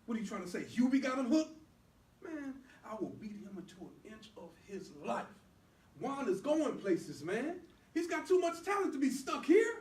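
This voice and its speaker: Stage drama: hot-tempered protective older brother, played by a man, high and swooping delivery, colloquial and punchy, roomy distant mic. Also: big pitch swings, normal speed, a little mellow. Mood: disbelieving. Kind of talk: stage play skit acting.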